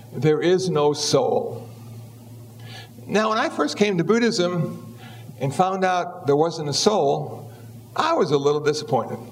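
Older man, 70s, Buddhist teacher; relaxed, self-mocking delivery, slightly higher-than-average voice. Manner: easygoing, wry, acts out little voices. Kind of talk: humorous dharma talk.

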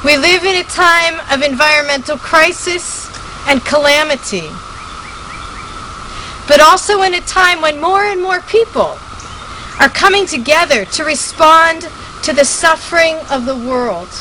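A woman giving a solemn, measured reading of a ceremonial statement. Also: animated, bright, forceful tone, poor audio. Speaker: reader of a conference statement. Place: gathering at a hermitage. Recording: clear ambient rumble and noise.

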